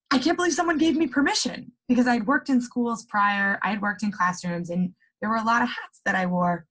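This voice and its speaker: A woman's voice: medium pitch, a bit bright, breathy edge, poor audio. A woman coach leading a workshop, talking earnestly to a group and breaking into bursts of enthusiasm.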